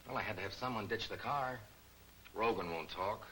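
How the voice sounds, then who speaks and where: Guy playing low voiced 40s gang flunky, short clipped answers, hissy old film sound. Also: moderate pace, lightly acted. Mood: defensive. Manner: clipped, matter-of-fact. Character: terse gangster henchman reporting to his boss, man. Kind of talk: 1940s movie serial acting.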